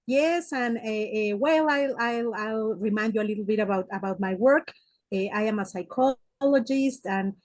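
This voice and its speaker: A woman from Mexico City with a Mexican Spanish accent speaks as a guest psychotherapist, her voice of middle pitch and set in a dry, dead space. She feels her way through English, hesitating with "eh" and repeating words before each point. She sounds like someone in her forties. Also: darkish tone, no room sound. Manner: hesitant, many "eh" fillers and restarts. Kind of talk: interview answers.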